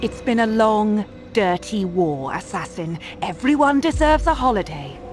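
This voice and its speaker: A woman's voice: medium pitch, animated, a little slow, over music, natural voice. An actress playing a proud, bitter female Templar warrior in a video game cutscene, speaking with haughty, commanding defiance. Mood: defiant, taunting. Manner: haughty, sharp-tongued, commanding.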